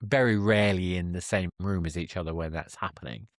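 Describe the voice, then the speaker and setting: A man's voice: low-pitched, a little fast, no echo, clean studio sound. A man hosting a conversational podcast interview, feeling his way through questions and rephrasing as he goes.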